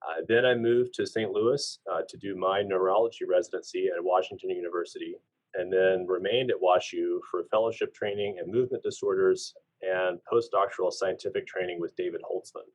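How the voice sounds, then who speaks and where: Neurologist guy from georgia, polite, steady, real low voice. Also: poor audio. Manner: polite, measured, a few restarts. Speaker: neurologist, guest.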